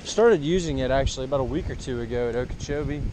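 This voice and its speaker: A young man casually commenting on his bass fishing to viewers, excited and laughing, filmed on a head-mounted camera by a canal. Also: quick pace, a little hiss or hum, rough recording. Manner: excited, laughing, talks to viewers as boys and girls. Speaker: fishing vlogger. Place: grassy canal and pond bank outdoors. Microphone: head-mounted action camera.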